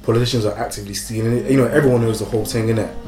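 A man's voice: mid-range voice, steady tone, very fast. A young man with Nigerian roots, hosting a podcast in a laid-back, slangy way, with hesitant fillers. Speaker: podcast host. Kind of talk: casual chat. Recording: echoey room with faint music.

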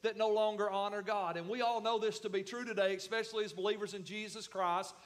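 A man's voice: high voice, steady tone, quick pace. A middle-aged man preaching a sermon as pastor, emphatic, with repetition and calls for the congregation to respond. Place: church sanctuary.

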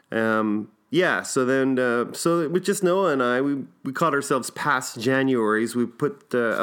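A man's voice: medium pitch, fairly bright tone, smooth. A man hosting a podcast in a casual, informal, enthusiastic and slangy way. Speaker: podcast host.

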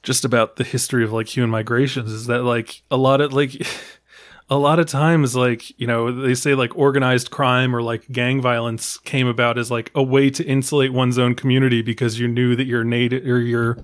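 Man, podcast host, casual, jokey, chatty, average pitch. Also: flat delivery, moderate pace, no echo, studio quality. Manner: chatty, jokey, mock-quotes his family. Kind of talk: casual film discussion.

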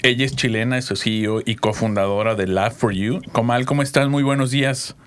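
Man host, mid-pitched, casual interviewer. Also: fairly even intonation, brisk, a bit bright, clear, resonant tone. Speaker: podcast host.

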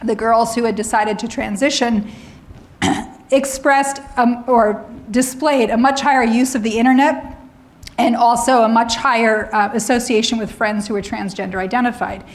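A middle-aged woman lecturing on academic freedom, slightly hoarse, often clearing her throat and adding wry asides. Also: on the high side, fairly even intonation, normal speed.

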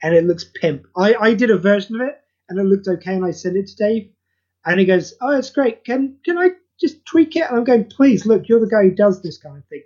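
A man's voice: high-pitched, lively intonation, fairly quick, darkish tone, muffled recording. A man hosting a podcast, chatting casually and self-deprecatingly, rambling with many restarts. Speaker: podcast host and audio play creator.

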